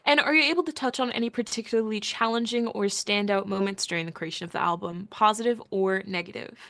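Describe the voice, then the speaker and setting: A woman's voice: medium pitch, fairly bright tone. A woman co-hosting a podcast, asking interview questions in a casual, upbeat way. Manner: upbeat, quick affirmations. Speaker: podcast co-host.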